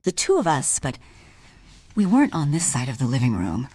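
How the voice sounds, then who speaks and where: An actress in a radio play as a distressed married woman confronting a man, husky and breathy, with halting, dramatic pauses. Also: a bass voice, wildly swooping pitch, a little mellow, dry recording, exaggerated voice. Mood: insistent, hushed.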